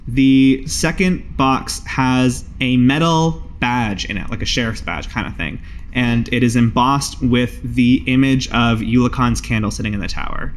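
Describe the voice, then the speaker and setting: A man acts as game master for a tabletop role-playing game. He improvises his narration in casual, colloquial language, at an ordinary middle pitch. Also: unhurried, slight background noise.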